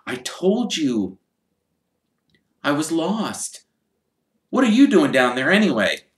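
A man's voice: fairly high pitch, big pitch swings, takes it slowly, pitched above the performer's own voice. A man voicing a polite, earnest young boy who explains things plainly, read in an echoey room. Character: polite, earnest twelve-year-old boy.

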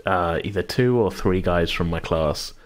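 A man's voice: low voice, unhurried. A British man in his thirties hosting a casual chat, rambling and often cutting himself off mid-thought. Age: 30s. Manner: rambling, hedging, self-interrupting. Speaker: podcast host and game master.

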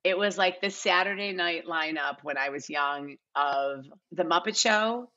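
A middle-aged American woman with a fairly low but very bright voice talks casually and emphatically, like a guest telling stories in an interview. The audio is slightly dull, as on a lo-fi video call. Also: unhurried. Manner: animated, emphatic, piles up quoted asides. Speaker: guest, TV and film casting director.

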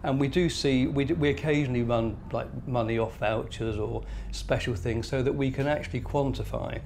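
A man's voice: medium pitch, brisk, vocal fry, a little mellow, a little hiss or hum. A male shopkeeper explaining his experience in an interview. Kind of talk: interview answer. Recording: faint room ambience.

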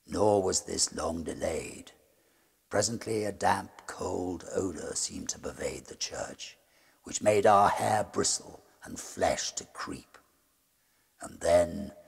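Guy reading a gothic horror tale, low gravelly husky voice, slow dramatic suspense. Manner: measured, suspenseful, theatrical. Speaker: storyteller. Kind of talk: dramatic gothic ghost story narration.

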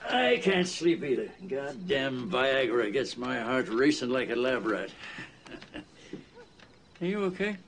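A man's voice: medium pitch, sing-song, slow pace, pauses often, slight background noise. An actor in his older years playing a blunt, crude man who teases a younger man on a porch at night in a film comedy.

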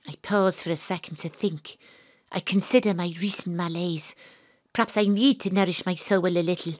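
An actress playing a sympathetic, self-mocking private detective, narrating an audio drama in a theatrical, wry manner. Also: female voice, on the low side, fairly even intonation, moderate pace, no room sound, clean studio sound, close to an everyday voice. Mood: reflective, wry. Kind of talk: first-person audio drama narration and dialogue.

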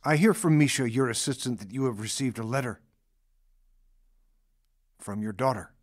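An actor playing a gentle but persistent male patient in a radio drama, questioning earnestly and a little hesitantly. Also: medium pitch, normal speed, no echo, clean studio sound, natural voice. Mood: gently urging.

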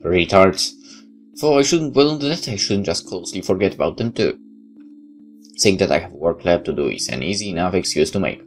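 Very low-voiced man reading aloud. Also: expressive, normal speed. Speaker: let's player. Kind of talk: reading game narration aloud with commentary. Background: game music.

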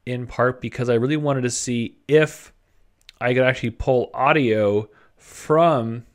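A man talks through a screencast tutorial in a mid-pitched voice. His delivery is conversational and informal, like someone thinking aloud while he works at his computer. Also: measured pace. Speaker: presenter of web design tutorials. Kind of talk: casual screencast tutorial narration. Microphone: desktop microphone at a computer.

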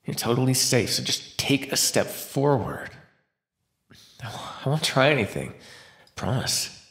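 A man's voice: mid-range voice, fairly bright tone. A man voicing a starving captive vampire in an immersive roleplay monologue, sardonic and flirtatious with a desperate edge. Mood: craving, pleading. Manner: sardonic, teasing, breathy pauses and sighs. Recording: roomy, echoing sound.

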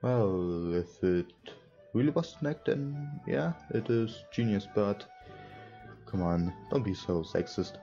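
A young man, not a native English speaker, doing a casual let's play and reading game dialogue aloud in a halting way. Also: lowish voice, animated, mellow. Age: young adult. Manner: halting, stumbles while reading. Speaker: let's player. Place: indoor room at a computer. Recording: dull lo-fi sound. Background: game music.

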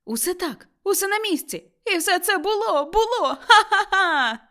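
A woman playing an exclamatory, giddy old Scrooge, high-pitched. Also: fairly quick. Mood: giddy joy. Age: older. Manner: exclamatory, excitable, repeating himself. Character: elderly miser Scrooge, giddily overjoyed and reborn, man.

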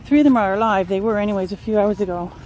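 A woman's voice: mid-range voice, very fast, darkish tone, slight background noise, poor audio. A woman talking casually and off the cuff, as a vlogger filming an animal rescue on a phone. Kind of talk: casual unscripted vlog narration. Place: outdoors on grass, later indoors and in a car. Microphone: handheld phone.

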